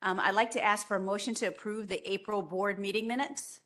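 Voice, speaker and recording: A woman chairing a public board meeting, running motions and reading a prepared report in a steady, measured way. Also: mid-range voice. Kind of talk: formal meeting remarks.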